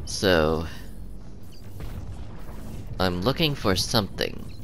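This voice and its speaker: A low-pitched man talks through his gameplay at an unhurried, halting pace, filling gaps with ums and uhs and sounding sniffly from a cold. His tone is bright and forward despite the low pitch. Faint game noise runs underneath. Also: expressive, creaky. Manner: halting, many ums and uhs, chuckles often. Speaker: gaming channel host. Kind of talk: casual gameplay commentary.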